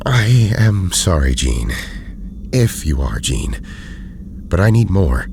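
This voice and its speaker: A man acting a learned, cautious superhero in an audio drama, speaking formally and gravely with careful articulation. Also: sits low, sing-song, slow pace, darkish tone, slight background noise, heavily acted. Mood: suspicious, sorrowful. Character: learned, cautious, formal male mutant hero.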